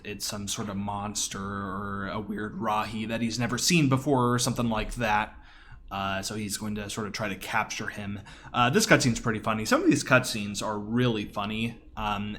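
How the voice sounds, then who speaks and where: A man's voice: fairly low pitch, slight background noise. A man giving casual, enthusiastic live commentary on his own video game speedrun in a conversational way. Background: quiet game audio.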